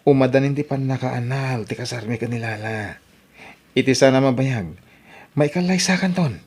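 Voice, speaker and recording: An actor playing a devoted adult husband in an audio drama, speaking in a soothing, persuasive way. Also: male voice, medium pitch, normal speed, a little mellow, breathy edge. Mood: tender. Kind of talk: Ilocano radio-style drama acting.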